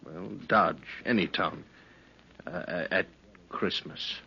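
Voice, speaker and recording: An actor playing a weary, wistful drifter in a 1950s radio western, with a husky voice and folksy, halting, rough-hewn speech. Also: male voice, low-pitched, bright, forceful tone, slight background noise, full character voice. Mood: wistful, curious. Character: weary, wistful male drifter of the old West. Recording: muffled 1950s radio recording.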